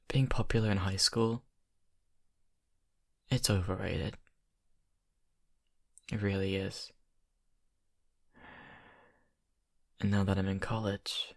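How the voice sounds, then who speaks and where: A young man acting a shy college vampire who confides haltingly and softly to his best friend in an ASMR roleplay. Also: fairly low pitch, gravelly, no room sound, studio quality. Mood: earnest, resigned. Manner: hesitant, halting, soft-spoken confiding. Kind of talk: ASMR roleplay, intimate improvised monologue.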